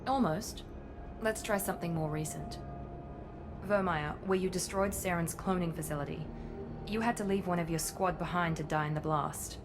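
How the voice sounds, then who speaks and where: A woman's voice: lowish voice, moderate pace, over music, close to an everyday voice. A woman playing a cool, self-assured, ruthless covert operative in a video game, with a clipped, haughty, businesslike delivery. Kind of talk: video game cutscene acting.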